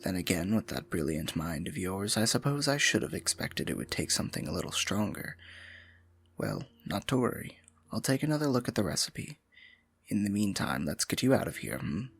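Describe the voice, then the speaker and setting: A man's voice: low-pitched, very animated, moderate pace, gravelly, fairly bright tone, husky, soft background music, heavily acted. A man playing a scheming royal advisor in a fantasy audio roleplay, silky, condescending and falsely sympathetic, often dropping to a murmur. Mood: mock concern. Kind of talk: fantasy ASMR roleplay acting, intimate and theatrical.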